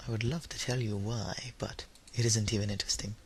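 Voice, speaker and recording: Young man, low creaky voice, tired, rambling solo chat, dry self-deprecating, faint background noise. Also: level tone, brisk. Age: teenager or young adult, high-school student. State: tired, under the weather. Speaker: YouTuber giving an audio log. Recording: noisy home recording with background hiss.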